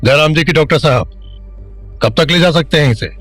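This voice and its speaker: A man's voice: on the high side, a little fast, a bit bright, soft background music. A man playing a weary, blunt, middle-aged small-town father in an audio drama. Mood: gruff.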